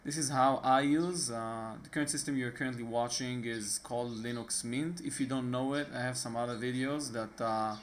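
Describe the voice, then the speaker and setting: An Israeli man with a medium-pitched voice hosts a casual screen-recording tutorial. He speaks in a relaxed, unscripted way and often stumbles into fillers and restarts. He sounds like he is recording at his own desktop computer. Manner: halting, frequent fillers and restarts. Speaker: tutorial host.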